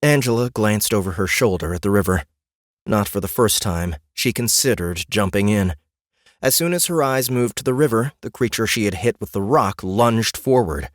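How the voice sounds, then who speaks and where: A man's voice: fairly low pitch, animated, moderate pace, no room sound. A man reading scripted fantasy narration as an audiobook narrator, close on a studio mic.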